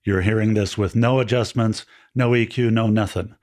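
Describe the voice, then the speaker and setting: A man with a fairly deep voice hosting a friendly step-by-step audio tutorial, explaining in a relaxed, chatty way with small jokes, recorded on a dynamic mic with no EQ. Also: normal speed. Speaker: audio gear tutorial host. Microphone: dynamic handheld-style studio mic, no EQ.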